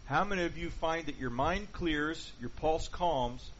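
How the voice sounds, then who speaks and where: Man, Bible class teacher, slightly raised pitch, questions the group often, lo-fi recording. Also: unhurried, fairly bright tone. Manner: asks the group questions and reviews answers. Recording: dull lo-fi with faint hiss.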